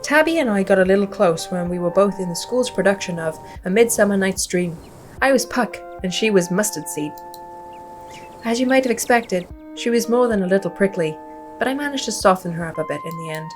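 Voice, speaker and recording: A woman's voice: medium pitch, lively intonation, a little fast, soft background music, close to an everyday voice. A woman voicing a friendly, folksy, chatty young small-town woman in a visual novel game. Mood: reminiscing.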